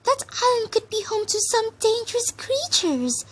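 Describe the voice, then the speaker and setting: A woman voicing a timid, worried female pegasus pony, hesitant and squeaky. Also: very animated, moderate pace, rough recording. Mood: alarmed.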